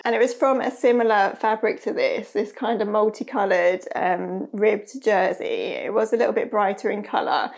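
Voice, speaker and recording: A woman in her thirties talking casually to camera about her sewing, a little hoarse and nasal from a cold, friendly and chatty. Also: medium pitch, steady tone, moderate pace, hardly any pauses. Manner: chatty, friendly, with soft laughs. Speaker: sewing vlogger. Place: bright room with a sewing machine and shelves. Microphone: lapel mic.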